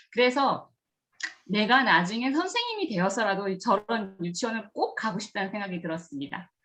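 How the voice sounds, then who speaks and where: A middle-aged woman, a kindergarten director giving an earnest storytelling career talk to students. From rural Gyeongsang region of Korea. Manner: earnest, acts out remembered dialogue with lively pitch jumps.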